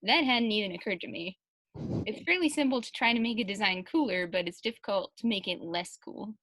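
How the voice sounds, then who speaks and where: Bright-voiced woman on a casual panel. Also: medium pitch, brisk, dry recording, low-quality recording. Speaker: translator reading out an interviewee's answers. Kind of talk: casual panel chat and reading aloud.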